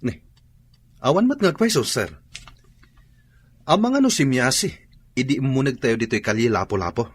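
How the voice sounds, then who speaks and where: Obedient soldier with swooping pitch. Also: male voice, sing-song, normal speed, pauses often, breathy edge. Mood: dutiful. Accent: Ilocano. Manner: deferential. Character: obedient, eager male soldier reporting to his superior. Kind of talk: Ilocano radio drama acting.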